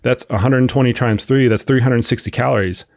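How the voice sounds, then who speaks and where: A man's voice: medium pitch, fairly even intonation, brisk, a little mellow, no room sound, clean studio sound. A man hosting a podcast with a cold and a sniffle, explaining at length in a casual, thinking-aloud way with frequent restarts. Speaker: podcast host, coach. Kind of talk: casual expert discussion.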